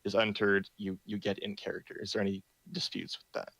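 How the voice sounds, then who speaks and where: Young man leads the community meeting, low and monotone, reading the rules plainly with frequent fillers. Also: fairly quick, gravelly, low-quality recording. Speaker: meeting host for a roleplay community.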